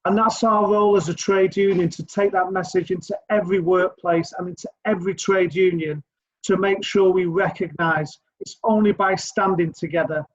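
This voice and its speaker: An earnest man speaking for a trade union in a rallying, prepared speech, with frequent hesitations. His voice sits high for a man. The video-call audio is muffled and lo-fi. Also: steady tone, moderate pace. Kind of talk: prepared speech at an online event.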